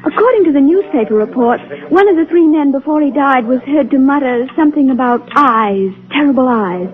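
Young female sleuth's helper, high voice, inquisitive, earnest, old radio serial, tinny period recording. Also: expressive, a little fast. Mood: curious. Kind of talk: 1930s radio drama acting. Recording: thin, narrow-band 1930s radio recording.

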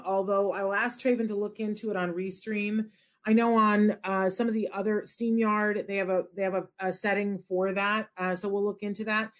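A woman's voice: medium pitch, a bit bright. Woman, live-stream co-host, friendly, talks to the viewers. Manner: friendly, addresses viewers directly.

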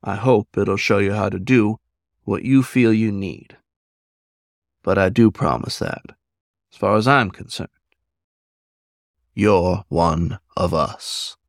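A man voicing a wise, gentle male werewolf in a story, speaking in a measured, folksy, reassuring way. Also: lowish voice, no room sound, clean studio sound. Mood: gentle, sincere.

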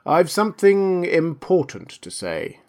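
A man's voice: mid-range voice, wildly swooping pitch, dark tone, exaggerated voice. A man voicing a stern, contemptuous storybook caterpillar in a languid, sleepy and curt manner. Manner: sleepy, slow drawl, curt.

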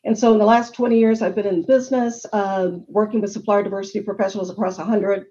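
A woman's voice: mid-range voice, steady tone, fast, warm tone, lo-fi sound. A middle-aged woman on a webinar panel, earnestly explaining a problem and walking through statistics. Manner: earnest, explanatory, lists facts and figures. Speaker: panel guest on supplier diversity.

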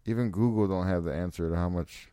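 Man, co-host, deep voice, laid-back, short replies, dry sound. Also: quick pace, mellow, smooth, no room sound. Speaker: podcast co-host. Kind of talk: casual chat.

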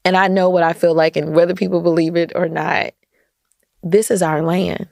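An American woman speaks casually and emphatically as an interview guest. Her voice sits fairly low with a clear fry in it. She repeats phrases for stress, and the sound is dry and close. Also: little pitch movement, moderate pace, darkish tone, no echo. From United States. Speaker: podcast guest, entrepreneur and community activist.

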